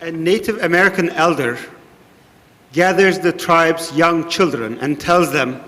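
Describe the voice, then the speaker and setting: A man with a Turkish accent, an imam on a panel, telling a story slowly and joking about his own accent. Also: a little high, a bit bright. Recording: reverberant hall.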